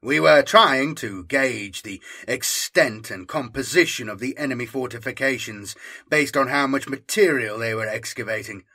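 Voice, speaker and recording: A man's voice: medium pitch, moderate pace, bright, forceful tone. A man voicing a brusque, matter-of-fact male military colonel in an audiobook. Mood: dismissive.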